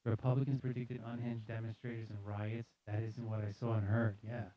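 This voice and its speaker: A man's voice: fairly low pitch, level tone, fairly quick, no echo, lo-fi sound. A man hosting a casual comedic news show, reading headlines aloud and riffing on them with sarcastic, mock-serious repetition. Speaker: host of a live news commentary show. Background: music playing behind the voice.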